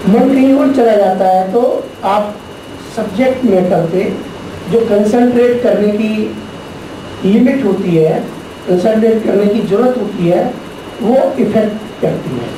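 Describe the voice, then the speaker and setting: A middle-aged man giving a spiritual lecture to a class, teaching deliberately and posing rhetorical questions. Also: high-pitched. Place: large echoey classroom. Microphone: distant room mic. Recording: very echoey, distant, noisy room recording.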